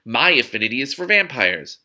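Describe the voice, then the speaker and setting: A man's voice: medium pitch, sing-song, fairly quick, bright, forceful tone. A man voicing a wry, bitter young woman in fantasy storybook dialogue, blunt and informal with some hesitation. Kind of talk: audiobook-style character dialogue.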